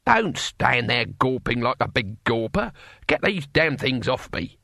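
A man's voice: medium pitch, very animated, a little slow, pushed and bright, no echo, full character voice. Man as very old barbarian warrior, comic fantasy audiobook, cackling, colloquial, breezily menacing, dry close recording. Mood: gleefully bossy. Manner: cackling, rough colloquial speech.

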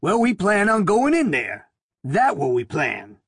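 A man voicing a burly, sullen male protester in his late thirties who talks gruffly and gets excitable. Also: a little slow, no echo, studio quality, heavily acted. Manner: gruff, excitable, rises to falsetto.

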